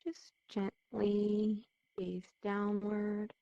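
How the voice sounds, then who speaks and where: A woman leading a guided meditation in a soothing, gentle, unhurried manner, with a muffled, dull sound to the recording. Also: mid-range voice, completely flat delivery, vocal fry, no echo. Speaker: meditation teacher.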